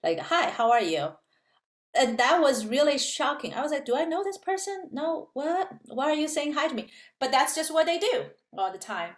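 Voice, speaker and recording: A woman's voice: sits high, sing-song, moderate pace, reverberant. A woman professor from China, explaining things at an easy pace in a casual interview, with many fillers. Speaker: physics professor, guest.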